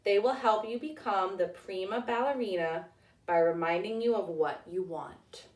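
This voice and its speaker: A woman voicing a gentle, encouraging mother in a children's storybook, with a reassuring manner. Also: mid-range voice, normal speed, reverberant, recorded from a distance, lightly acted.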